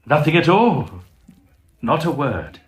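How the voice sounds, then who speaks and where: A man playing a brisk, blunt, level-headed young medical student in an audio drama. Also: a little high, very animated. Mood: puzzled, curious. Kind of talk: acted audio drama dialogue.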